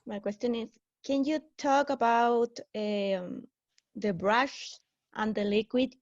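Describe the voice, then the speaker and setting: A woman's voice: a little high, takes it slowly, dry recording, lo-fi sound. A woman from Chile with a Chilean Spanish accent, a native Spanish speaker asking a question hesitantly in English. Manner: hesitant, searching for English words. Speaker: webinar participant.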